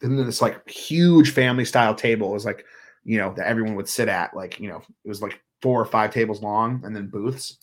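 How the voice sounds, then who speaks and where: A man's voice: lowish voice, a little fast. A man, chatting casually as a podcast guest in a rambling, profane, riled-up way. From Northern California. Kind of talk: casual chat.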